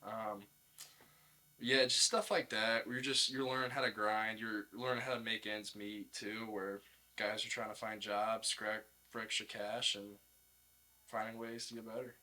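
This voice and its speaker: Bright-voiced young man, slightly low. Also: flat delivery, measured pace. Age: young adult. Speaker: college baseball player, podcast guest. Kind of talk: casual interview chat.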